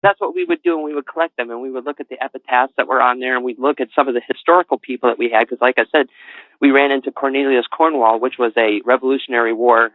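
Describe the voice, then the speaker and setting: A man's voice: mid-range voice, steady tone, fast talker, no room sound. Man with a faint New England accent. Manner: rambling, self-correcting, earnest. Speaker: interview guest, author. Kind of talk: casual interview talk. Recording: dry, tinny phone-like line.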